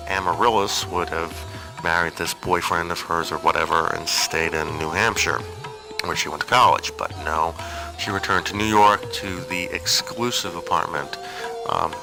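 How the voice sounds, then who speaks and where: A man's voice: low voice, poor audio. A man giving an informal, rambling personal monologue with a hesitant, digressive and wry way of talking. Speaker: storyteller on an audio zine.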